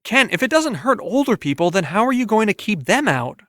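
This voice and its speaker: Earnest, inquisitive young boy, played by a male narrator, lifted pitch, for audiobook fiction. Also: sing-song, fairly quick, bright, forceful tone, no room sound, studio quality, heavily acted. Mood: earnest, questioning. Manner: questioning, earnest. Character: young boy, curious, earnest, eager to join in.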